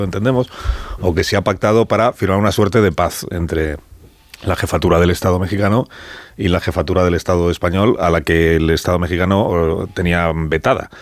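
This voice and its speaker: Low-voiced Spanish male radio host. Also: dry recording. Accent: Spain. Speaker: radio talk show host. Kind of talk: live radio panel moderation, conversational. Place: radio studio.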